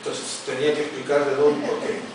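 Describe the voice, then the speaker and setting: A middle-aged man from Peru's Amazon region, an author presenting his book and telling a story in a halting way, with many restarts and fillers. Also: fairly high pitch, flat delivery. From Peru, Amazon region. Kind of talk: informal talk at a book presentation. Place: large echoey arts center hall. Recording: echoey hall from far off with noise.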